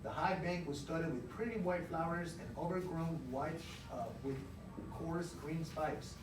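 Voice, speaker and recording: High-voiced male teacher in a noisy, echoing room. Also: unhurried, far from the mic. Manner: expressive, emphatic, encouraging with pupils. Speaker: elementary teacher reading a storybook aloud to a class. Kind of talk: interactive read-aloud, storytelling and questioning children. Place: indoor classroom. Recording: roomy, noisy classroom, recorded from afar.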